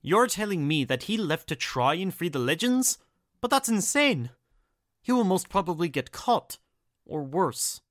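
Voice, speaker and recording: A woman playing an excitable, shrunken shapeshifter character in an audio drama. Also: lowish voice, a little slow, no room sound, clean studio sound. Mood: distressed.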